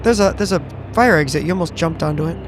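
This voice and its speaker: A man's voice: on the high side, quick pace, noisy background. A man improvising in character in a tabletop roleplaying game, hesitant and often repeating his words.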